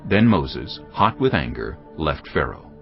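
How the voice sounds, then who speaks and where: Very deep gravelly male narrator. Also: big pitch swings, measured pace. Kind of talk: scripted Bible narration. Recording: dull lo-fi sound with music.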